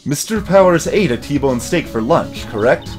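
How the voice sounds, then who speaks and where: A man voicing an earnest, persistent young male defense lawyer, reading video game dialogue in a measured, insistent way. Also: a little high, expressive, a little mellow, soft background music, natural voice. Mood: earnest, pressing.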